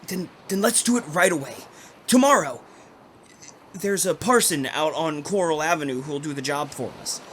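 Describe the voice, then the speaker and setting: A man's voice: a little high, normal speed, a bit bright, slight background noise, close to an everyday voice. A man playing a domineering, secretive bridegroom in old-fashioned radio melodrama, with a terse, insistent delivery. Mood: eager, coaxing. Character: domineering, secretive bridegroom from Maine, curtly menacing.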